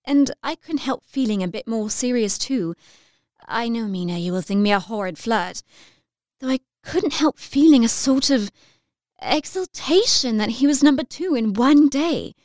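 An actress playing an excitable, romantic Victorian girl of nineteen, reading her letter effusively in an audio drama. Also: a little high, moderate pace, no room sound, studio quality, natural voice. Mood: amused, confiding. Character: excitable, romantic nineteen-year-old Victorian young lady.

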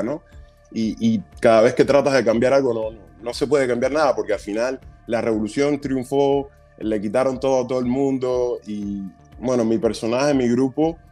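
Cuban stage actor guest, mellow warm tone, lively anecdotal interview talk. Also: male voice, mid-range voice. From Cuba. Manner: animated storytelling with fillers and restarts. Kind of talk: conversational interview.

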